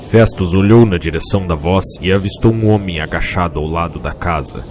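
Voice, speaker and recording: A man's voice: low-pitched, brisk, darkish tone, a little hiss or hum. A man reading a scripted fantasy tale as narrator, in a solemn, literary manner.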